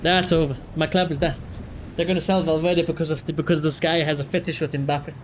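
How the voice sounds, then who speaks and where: A man chatting casually on a livestream, reacting and ranting in an animated, blunt, sweary way. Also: fairly high pitch, brisk, a little hiss or hum. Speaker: live streamer.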